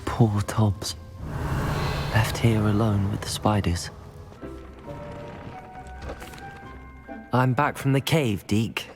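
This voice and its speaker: An actor playing a polite, helpful young student wizard in a video game, speaking in a courteous, earnest way. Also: male voice, on the low side, slow pace. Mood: sympathetic. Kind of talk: video game character dialogue. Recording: music clearly behind the voice.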